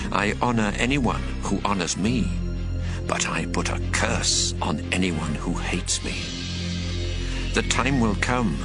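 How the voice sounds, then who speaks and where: An actor playing a stern prophet who pronounces divine judgment in a solemn, declamatory scripture drama. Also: male voice, lowish voice.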